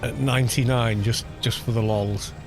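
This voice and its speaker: A man with a baritone voice, chatting casually on a gaming live stream and muttering to himself as he plays. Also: moderate pace. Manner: relaxed, muttering to himself between remarks. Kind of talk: casual live-stream commentary while playing. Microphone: studio microphone with low cut. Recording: game music playing behind the voice. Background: game music.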